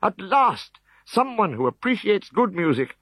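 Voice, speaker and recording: A man's voice: sits high, very animated, measured pace, a bit bright, slightly rough, dry recording, voice pushed up, heavily acted. A man voicing a vain, cheerful bard who delights in himself, in a storybook reading. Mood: delighted. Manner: theatrical, self-delighted.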